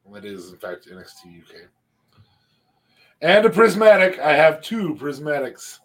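Husky-voiced man hosting a podcast. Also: on the high side, big pitch swings, moderate pace. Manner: joking, swearing, dry asides. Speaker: podcast co-host. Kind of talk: casual podcast chat.

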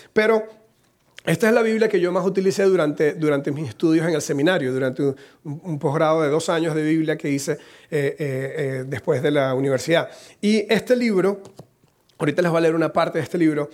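A male pastor delivers a chatty sermon, his voice slightly hoarse from a cold. He jokes at his own expense between points, and his speaking voice sits somewhat high for a man. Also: darkish tone. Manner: humorous, self-deprecating, chatty asides. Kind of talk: conversational sermon. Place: church in Costa Rica.

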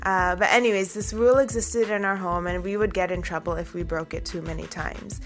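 A woman's voice: fairly low pitch, fairly even intonation, fairly quick, soft background music. A young woman from Canada telling a personal story in a chatty way, full of asides. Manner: chatty, many fillers and asides. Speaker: vlogger.

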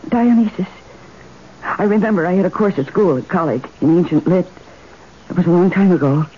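A woman's voice: on the low side, a little fast, close to an everyday voice. A woman as hesitant, yearning wife in muffled radio play. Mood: bemused, wondering. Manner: hesitant, emotional, breathless phrasing. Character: lonely suburban wife, timid, dreamy, yearning for romance. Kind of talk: old-time radio drama acting. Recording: muffled, noisy old radio broadcast.

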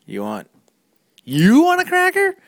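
Playful dad with a bouncy, dry voice. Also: male voice, wildly swooping pitch, unhurried, fairly bright tone, no room sound. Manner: jumps into high, exaggerated questions when voicing his lines. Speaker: father narrating a family skit. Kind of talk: playful home-video narration.

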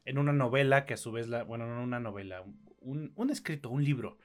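A man's voice: mid-range voice, lively intonation, brisk, faint music behind. A man with a Mexican accent co-hosting a podcast, reviewing films in a casual, slangy and opinionated way. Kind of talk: casual conversational film review.

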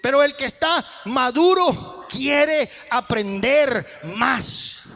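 Male preacher, emphatic, sharp tone, long hall reverb. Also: extremely high pitch, animated, slow. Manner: emphatic preaching with rising bursts. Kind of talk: sermon. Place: church hall. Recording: muffled church hall echo.

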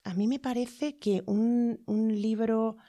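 A woman's voice: a little high, steady tone, slow, mellow, dry recording. A middle-aged woman with a Spanish accent from Spain, speaking as an interview guest in a reflective, hesitant way with many fillers and tag questions.

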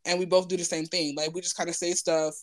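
A woman's voice: fairly low pitch, level tone, fast, no room sound. A woman speaking informally and candidly in a personal conversation, often checking in for agreement as she goes.

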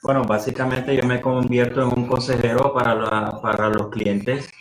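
A man's voice: mid-range voice, level tone, moderate pace. Man advising in an echoey room. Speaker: client advisor. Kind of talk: explanatory conversational talk.

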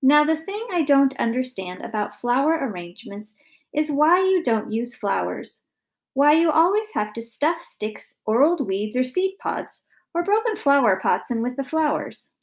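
A woman's voice: high-pitched, big pitch swings, unhurried, pitched above the performer's own voice, exaggerated voice. A woman voicing an exasperated, stern father of a noisy family in a children's story. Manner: stern, loud, fed up.

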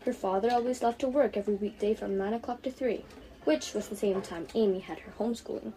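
A woman's voice: mid-range voice, fast talker, dark tone, low-quality recording. A fifteen-year-old young woman reading her own storybook aloud as narrator.

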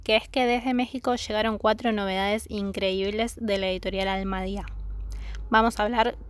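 A woman's voice: mid-range voice, steady tone, normal speed. A woman presenting a book podcast in a prepared, scripted style. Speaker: podcast host.

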